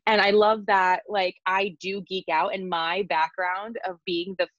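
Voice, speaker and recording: A woman co-hosting a podcast over a video call, chatting casually from her bed in a deep voice, joking and laughing at herself and drifting off on tangents. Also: little pitch movement, unhurried, a bit bright, dry recording, dull audio. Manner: playful, laughing, self-deprecating, tangent-prone. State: worn out after crying. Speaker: podcast co-host.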